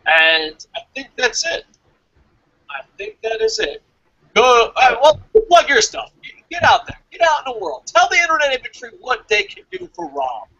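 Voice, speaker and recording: A man's voice: very high voice, creaky, sharp tone, lo-fi sound. A man hosting a casual wrestling review podcast, chatting informally with some swearing. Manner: profane, informal. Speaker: podcast host.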